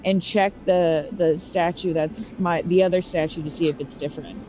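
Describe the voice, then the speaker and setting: A woman's voice: lowish voice, fairly even intonation, moderate pace, mellow. A woman playing a tabletop game, chatting casually in an emphatic, repetitive way. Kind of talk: casual roleplay chat. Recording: dull audio, faint noise.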